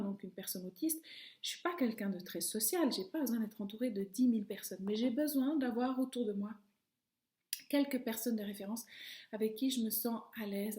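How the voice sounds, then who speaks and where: Soft, reflective middle-aged woman. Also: on the high side. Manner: calm, reflective, trailing off softly at phrase ends. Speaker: video host. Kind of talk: personal reflective monologue. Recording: echoey room.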